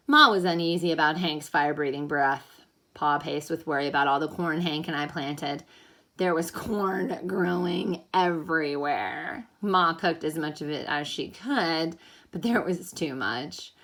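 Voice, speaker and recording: A woman teacher reading a children's story aloud in an expressive, animated way, as if to young listeners. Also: sits low. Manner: animated, pausing to ask listeners questions. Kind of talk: expressive storybook read-aloud with think-aloud questions.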